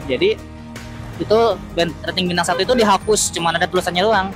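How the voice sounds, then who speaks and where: A man chatting casually as a motorcycle taxi driver, explaining things with halting self-repeats over a helmet intercom while riding. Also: high-pitched, fairly even intonation, music in the background. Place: outdoors, riding a motorcycle through city streets. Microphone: helmet intercom headset.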